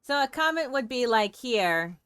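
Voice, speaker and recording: A woman's voice: a little high, expressive, a little slow, fairly bright tone, smooth, dry recording. A woman chatting playfully and thinking aloud as she comments on a game for a livestream. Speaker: game streamer.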